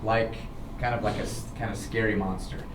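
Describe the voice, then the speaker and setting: A man's voice: fairly low pitch, fairly quick, distant mic. American man telling stories, roomy noisy recording. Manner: animated, dramatic, repeats "okay" to check in. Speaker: storyteller, show host. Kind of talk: informal personal storytelling to listeners.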